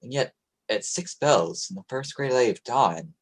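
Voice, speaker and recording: Young guy acting a commanding young ship captain, husky voice, really varied pitch, radio play. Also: lively intonation, moderate pace. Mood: grave storytelling. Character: young male sailing captain, commanding and steady.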